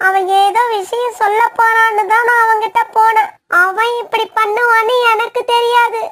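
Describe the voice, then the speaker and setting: A woman's voice: extremely high pitch, flat delivery, moderate pace, a bit bright. A woman voicing a whiny, pleading young girl sparrow in a children's cartoon. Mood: upset, pleading.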